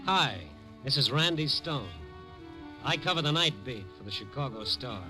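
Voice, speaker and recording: A man playing a newspaper night reporter, narrating his story in a measured, dramatic old-time radio manner. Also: medium pitch, expressive, lightly acted. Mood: brooding. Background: dramatic music.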